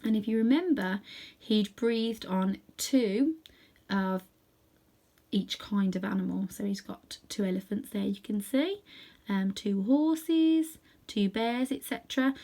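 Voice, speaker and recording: A woman's voice: mid-range voice, slow, mellow. A woman reading a storybook aloud, narrating expressively with casual asides to the listener. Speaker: storybook reader.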